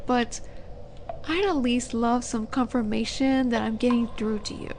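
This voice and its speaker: Female demon, played by a woman for roleplay audio drama: seductive, taunting, unhurried, higher-pitched, sinking into whispers, faint noise behind. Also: a little mellow, close to an everyday voice. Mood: patient, taunting. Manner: slow, coaxing, condescending purr with breathy whispered drops. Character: flirty, teasing female demon buyer at a dark auction.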